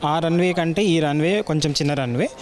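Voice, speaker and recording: A man with an Indian accent explaining technical work in an interview, speaking haltingly and mixing in English terms. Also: a little high. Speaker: air traffic controller explaining his work.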